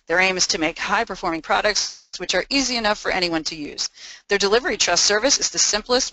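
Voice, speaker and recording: A woman's voice: on the low side, sharp tone, no echo, rough recording. A woman hosting a webinar, reading a formal prepared introduction of the speaker and housekeeping notes. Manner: reads a prepared script, formal. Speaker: webinar host.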